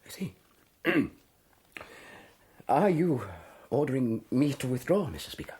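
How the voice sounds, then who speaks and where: An actor playing a pompous British army major with an English accent, speaking formally and hesitantly in a radio drama. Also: male voice, mid-range voice, lively intonation, measured pace, warm tone, close to an everyday voice. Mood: stiff, affronted. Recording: echoey hall.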